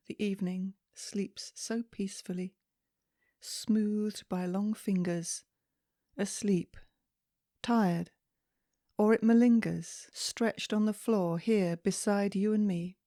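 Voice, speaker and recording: A woman reading poetry aloud in a soft, calming, measured way meant for relaxation, with deliberate phrasing and pauses. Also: mid-range voice, no room sound, clean studio sound. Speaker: poetry reader.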